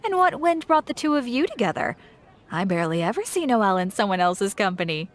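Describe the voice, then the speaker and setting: A woman's voice: medium pitch, big pitch swings, fairly quick, close to an everyday voice. A woman voicing a friendly, chatty shopkeeper in an anime video game, with a knowing, teasing manner.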